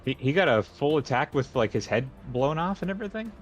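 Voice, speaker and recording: A man's voice: a little high, big pitch swings, a little fast, dull audio, faint music behind. A man talking casually and jokingly as a player in a tabletop roleplaying game.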